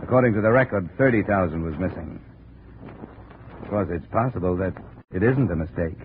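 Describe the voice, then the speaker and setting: Hard-boiled, sardonic male investigator, lowish voice, brisk and probing, on scratchy narrow-band 1950s radio. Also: expressive. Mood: tough, pointed. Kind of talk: 1950s radio detective acting and narration.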